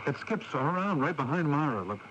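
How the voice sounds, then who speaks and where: An actor playing a harried, bossy film director in a 1980s radio drama. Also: male voice, fairly high pitch, big pitch swings, dull audio. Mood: troubled. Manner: brisk, bossy, exasperated. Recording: noisy 1980s radio broadcast taping.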